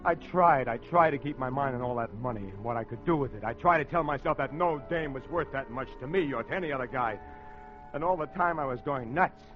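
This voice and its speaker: A man's voice: medium pitch, a little fast, natural voice. An actor playing a tough, slangy, tormented American crook who tells his own story in an old radio crime drama. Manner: slangy, hard-boiled. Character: tough male small-time crook, tormented, confessional narrator. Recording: dull old radio recording, music behind.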